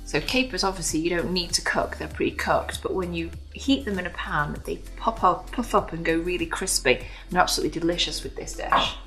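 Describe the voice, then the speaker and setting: A woman's voice: lowish voice, fairly quick, hardly any pauses, a bit bright. A woman in her thirties giving a casual, instructional cooking demonstration as a home cooking host in a kitchen. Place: modern home kitchen, indoors. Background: background music, frying sizzle.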